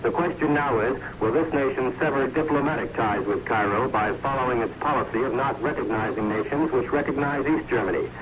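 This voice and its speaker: A man's voice: medium pitch, a little fast, hardly any pauses. Male radio correspondent, scratchy old recording. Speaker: foreign news correspondent. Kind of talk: scripted 1960s radio news report.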